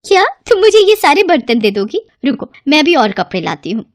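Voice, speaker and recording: A woman voicing a foolish, eager young housewife in an excitable, chirpy way for a cartoon moral story. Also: sits high, a little fast, dry recording, studio quality, pitched above the performer's own voice. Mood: excited, proud.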